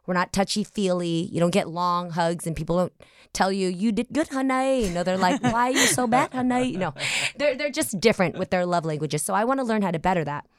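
A woman in her forties hosting a podcast, chatting in a bubbly, animated way and laughing often. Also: fairly low pitch, fairly quick. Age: 40s. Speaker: podcast host. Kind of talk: casual interview chat.

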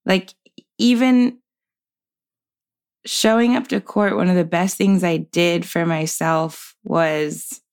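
Slow-spoken reflective young woman. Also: fairly low pitch, fairly even intonation, clean tone. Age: 20s. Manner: thoughtful, measured, with soft laughs. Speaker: podcast guest. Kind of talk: reflective conversational interview.

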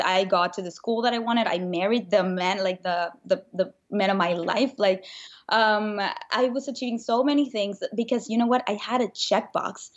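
A woman's voice: mid-range voice, lo-fi sound. Woman. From Venezuela. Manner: animated, earnest storyteller. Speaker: podcast guest. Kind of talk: conversational interview.

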